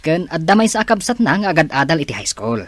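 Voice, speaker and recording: A man's voice: fairly high pitch, big pitch swings, fast, fairly bright tone, no room sound. A man playing a deferential, chatty underling reporting to his boss in an audio drama. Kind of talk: Ilocano audio drama acting.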